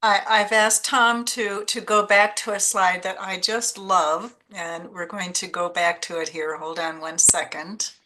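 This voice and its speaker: A woman hosts an online forum over a video call, asking her guest questions. Her voice is bright and clear, and she talks in a friendly, chatty way. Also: medium pitch, steady tone, a little slow, hardly any pauses. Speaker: forum host.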